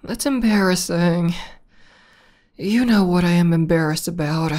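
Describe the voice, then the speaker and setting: A woman playing a tough tomboy demon girlfriend, shy, tender and devoted, in an intimate ASMR roleplay with a soft, hesitant delivery. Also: fairly low pitch. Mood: embarrassed, shy. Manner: soft, hesitant, bashful, breathy dips into whisper.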